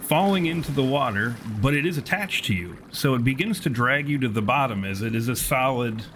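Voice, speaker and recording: Wordy male game master, mid-pitched. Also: expressive, fairly quick, fairly bright tone, a little hiss or hum. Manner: wordy, storyteller phrasing. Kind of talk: improvised narration of a tabletop roleplaying game.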